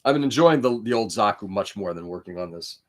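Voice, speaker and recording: A man's voice: lowish voice, animated, quick pace. Casual livestream host on his laptop, a Greek-native man who swears a lot and talks in loose, opinionated tangents. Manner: rambling, profane, opinionated. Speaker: livestream host building model kits. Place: indoor room.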